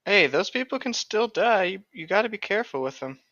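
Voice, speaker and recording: A man's voice: high voice, animated, pushed and bright, no echo. A man co-hosting a comic book reading, chatting playfully and laughing easily, with a slightly dull recorded sound. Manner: playful, silly, quick to laugh. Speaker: co-host of a comedic book-reading show. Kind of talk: casual banter and comic read-aloud.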